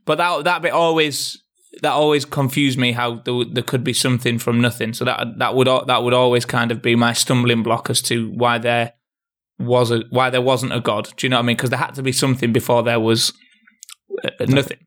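A man in casual podcast talk tells a story with a rambling, restarting flow and laughs often. His voice sits at an ordinary middle pitch. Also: little pitch movement, brisk, fairly bright tone. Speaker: podcast co-host. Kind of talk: casual chat.

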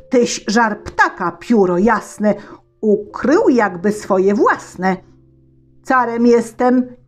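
Woman doing an angry old tsar in a storybook, bossy, yelling high, drawn out and slow. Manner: shouting, imperious, threatening. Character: wrathful bearded old male tsar.

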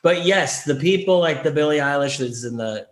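A middle-aged man hosting a podcast, chatting quickly with animated asides. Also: on the high side. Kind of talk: casual podcast chat. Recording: roomy echo.

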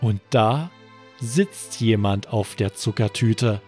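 Slow, measured male bedtime storyteller. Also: fairly low pitch, a little mellow, faint music behind. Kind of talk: scripted bedtime story narration for children.

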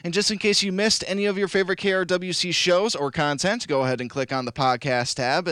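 A man hosting a local radio call-in show, his voice hoarse and raspy from losing it, upbeat and repeating phone numbers slowly. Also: fairly high pitch, a bit bright, dry recording, clean studio sound. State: hoarse, lost voice. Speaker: radio host. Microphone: studio broadcast mic.

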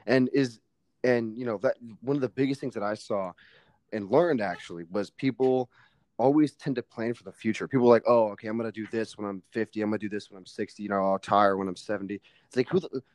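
A man's voice: lowish voice, fast, a little mellow, no echo. Emphatic young man, casual podcast chat. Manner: emphatic, swears casually, heavy fillers. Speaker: podcast guest.

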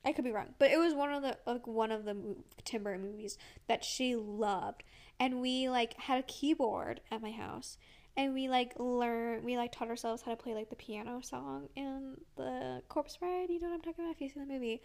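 A young woman with a somewhat high voice chats in a loose, rambling way, dropping "like" into nearly every phrase. Her nose is stuffed up, which gives her voice a congested sound. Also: steady tone, moderate pace, a little mellow. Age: young adult. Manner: rambling, full of "like", laughs often. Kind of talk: casual vlog chat.

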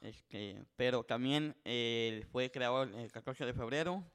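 Guy hosting a podcast, lots of "este", flips to english mid sentence, medium pitch. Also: a little slow, fairly bright tone, smooth. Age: 20s. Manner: rambling storyteller, many filler words, switches between Spanish and English. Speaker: podcast host. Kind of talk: casual bilingual chat.

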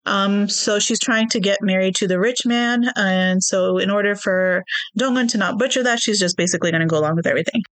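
A woman's voice: medium pitch, a little fast, a bit bright, no echo, low-quality recording. A woman co-hosting a podcast, casually recapping a TV show with lots of ums and hedges. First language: not Korean. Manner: recaps plot in a chatty, hedging way with many ums. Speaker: podcast co-host.

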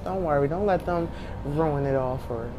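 A woman's voice: low-pitched, moderate pace, noisy background. A woman in her thirties co-hosting a podcast, talking emphatically and repeating her lines for weight. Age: 30s. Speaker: podcast co-host. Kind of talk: casual chat.

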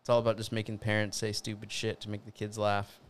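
A man's voice: fairly low pitch, monotone, fast talker. A man co-hosting a podcast, talking in a laid-back way in casual chat. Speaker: podcast co-host.

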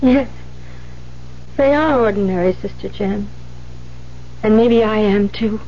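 An actress playing an earnest, hesitant young nun in a 1970s radio drama, speaking in a halting, unsure way. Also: female voice, medium pitch, lightly acted. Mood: sad, resigned. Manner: halting, unsure, repeats words. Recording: muffled, hissy 1970s radio recording with hum.

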